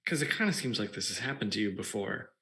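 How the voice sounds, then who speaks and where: A man's voice: lowish voice, lively intonation, quick pace, bright, forceful tone. A man playing a hesitant, short-of-money customer who questions things in a wry way. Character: hesitant broke male customer. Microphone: video call.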